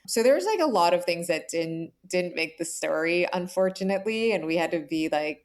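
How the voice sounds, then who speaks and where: A woman giving earnest, reflective interview answers in a conversational way, often saying "like" and checking in with "right?". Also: on the low side. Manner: earnest, explanatory, frequent "like" and "right?". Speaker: author, interview guest.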